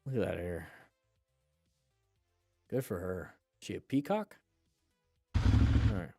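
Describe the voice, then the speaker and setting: A man's voice: deep voice, lively intonation, takes it slowly, gravelly, warm tone, dry recording, clean studio sound, soft background music. A man hosting a casual livestream, reviewing motorcycle clips and chatting loosely between them, his voice stuffy and nasal from congestion. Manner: chatty, loose asides, plugs a sale.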